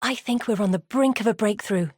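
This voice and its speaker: An actress playing a determined, wary exiled woman researcher in a video game, speaking in a measured, earnest, purposeful way. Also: medium pitch, darkish tone, breathy edge, no room sound, natural voice. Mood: earnest, hopeful. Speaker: video game quest-giver character. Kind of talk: scripted video game voice acting.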